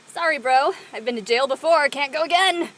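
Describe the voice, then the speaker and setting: A woman playing a cheeky teenage high school girl in an audio play, with a playful, joking manner. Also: fairly quick, fairly bright tone, slight background noise. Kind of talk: conversational acting in an online audio play.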